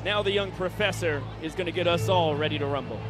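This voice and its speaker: A man's voice: fairly high pitch, quick pace, over music. A man doing upbeat pregame baseball broadcast commentary from the ballpark booth. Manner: upbeat, chatty, self-correcting. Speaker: play-by-play broadcaster.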